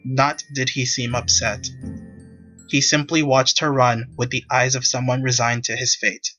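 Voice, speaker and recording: A man narrates a scripted visual novel tale. His voice sits at an average pitch for a man, and music is clearly heard behind it. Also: flat delivery, measured pace, sharp tone, highs cut off.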